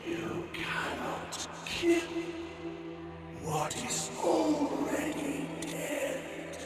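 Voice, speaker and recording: A man voicing a commanding, disembodied godlike voice that speaks in a slow, hushed, menacing way. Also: extremely high pitch, very animated, roomy sound, over music, pitched above the performer's own voice, heavily acted.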